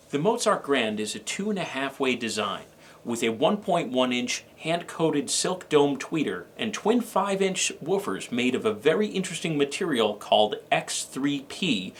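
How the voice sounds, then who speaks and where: A man co-hosting a product review, delivering scripted information in a measured, somewhat formal way. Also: medium pitch, fairly bright tone, a little hiss or hum. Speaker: show co-host and reviewer.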